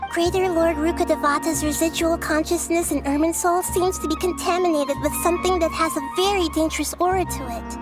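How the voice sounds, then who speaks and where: A high, girlish game character voice, acted by a woman: a young goddess who is calm and wise. She explains things in a measured, earnest manner, with music playing behind her. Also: natural voice. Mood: grave, thoughtful. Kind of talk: scripted video game voice acting.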